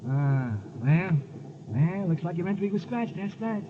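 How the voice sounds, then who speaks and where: A man's voice: high-pitched, lively intonation, a little slow. An actor in a 1950s radio drama playing a chatty, fussy horse-race gambler who repeats his phrases and talks in slang. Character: chatty, fussy male racetrack gambler on a train. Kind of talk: 1950s radio comedy character acting. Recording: noisy, narrow-band old radio recording.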